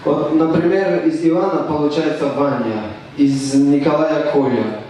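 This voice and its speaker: A young man from Tajikistan, performing deadpan stand-up with hesitant restarts. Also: a little high, recorded from a distance. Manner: deadpan, halting with restarts and fillers. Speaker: stand-up comedian. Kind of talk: stand-up comedy set. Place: stage in a club. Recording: echoey room recorded from afar, faint noise.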